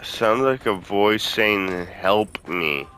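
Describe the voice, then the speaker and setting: A man's voice: fairly low pitch, expressive, extremely slow, sharp tone, slight background noise. A man giving casual let's-play commentary, talking informally to his viewers and swearing freely. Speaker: gaming video host.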